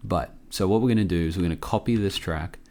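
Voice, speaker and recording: A man with a low voice walks through a software tutorial casually. He sounds a little under the weather and talks in an offhand, halting way, close to the mic. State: sick with a cough. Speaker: tutorial presenter. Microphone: close microphone.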